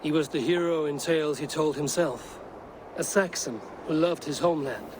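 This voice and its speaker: An actor playing a stern, relentless Viking warrior in a video game, speaking in a solemn, measured way. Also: male voice, a little high, background noise, natural voice. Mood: cold, solemn. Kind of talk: dramatic video game acting.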